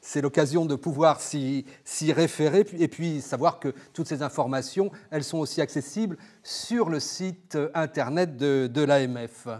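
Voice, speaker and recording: A man hosting an informational interview, phrasing his questions haltingly and repeating words as he goes. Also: fairly high pitch, a little mellow. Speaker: interviewer and host of an information segment. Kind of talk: interview on local government finance.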